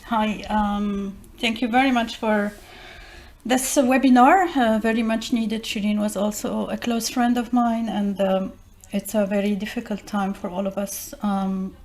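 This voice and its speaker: A woman's voice: medium pitch, darkish tone. Hesitant Palestinian woman on a video call. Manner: hesitant, halting, full of fillers and restarts. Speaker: audience member asking a question. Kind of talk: online webinar question.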